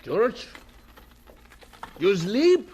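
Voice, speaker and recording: An actor playing a stern but caring old German farmer with a German accent, speaking earnest, halting, broken English in a 1950s radio drama. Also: male voice, sits high, very animated, a little mellow, muffled recording, heavily acted. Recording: hissy old radio recording.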